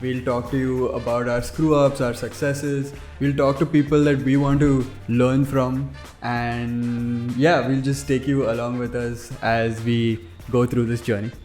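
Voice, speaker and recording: A man's voice: medium pitch, level tone, unhurried, hardly any pauses, darkish tone. A young man hosting a startup podcast, introducing a guest and asking questions in an upbeat, friendly way. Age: young adult. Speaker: podcast host. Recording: roomy echo with faint music.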